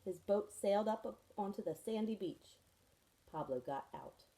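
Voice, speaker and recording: A woman's voice: medium pitch, animated, unhurried, mellow. A woman teacher reading a children's picture book aloud to her students, storytelling expressively with friendly asides.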